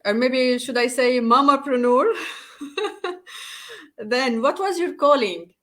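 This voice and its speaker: A woman whose first language is Arabic, hosting an interview with enthusiasm and many halting fillers. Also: high voice, a bit bright. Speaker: interview host.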